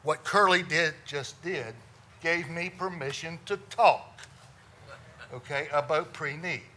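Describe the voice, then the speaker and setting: Middle-aged funeral director, loud, resonant, bright-toned, slightly higher voice, folksy joking speaker, faint hiss. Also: male voice, slow pace. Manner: loud, folksy storyteller with self-deprecating jokes. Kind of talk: humorous presentation to a lawyers' audience.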